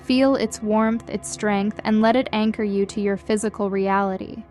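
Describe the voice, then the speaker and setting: A woman reading a scripted guided meditation in a gentle, soothing, measured way, as a guide leading listeners. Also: mid-range voice, fairly even intonation. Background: background music.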